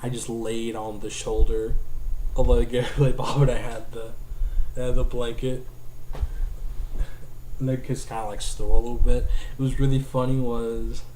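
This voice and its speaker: A man's voice: medium pitch, dark tone, a little hiss or hum, rough recording. A young man talking casually to his webcam in a small room at home, rambling with lots of "like" and many restarts. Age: young adult. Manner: rambling, heavy use of "like", restarts often. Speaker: vlogger. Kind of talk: casual talk to camera. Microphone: webcam at desk distance.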